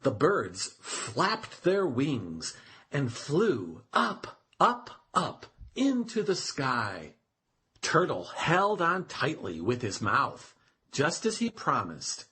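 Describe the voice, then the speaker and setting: A storyteller reading a children's tale aloud as expressive, deliberate narration. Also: medium pitch, husky.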